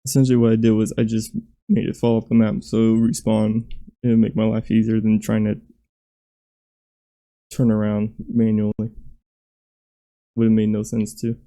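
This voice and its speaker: A man, hoarse from losing his voice, giving halting, self-critical commentary while playing through his own game level. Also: lowish voice, warm tone. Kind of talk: gameplay commentary.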